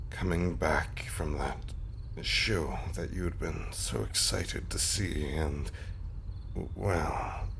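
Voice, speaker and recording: Casting: man to play courteous zombie husband for intimate audio drama, bottom-of-the-range breathy voice, wistful halting delivery, faint night insects behind. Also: fairly bright tone, a little hiss or hum, full character voice. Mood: haunted, halting. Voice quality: low, breathy, often half-whispered. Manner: halting, old-fashioned genteel phrasing. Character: undead zombie husband, gentle, wistful, gallant and apologetic. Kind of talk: scripted intimate audio roleplay.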